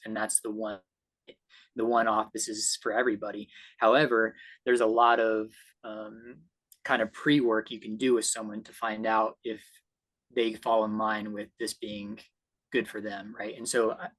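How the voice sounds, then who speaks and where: A man's voice: on the low side, a bit bright, poor audio. A man speaking earnestly as a guest coach in a conversational discussion, often checking in with a tag question. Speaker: guest trauma-healing coach.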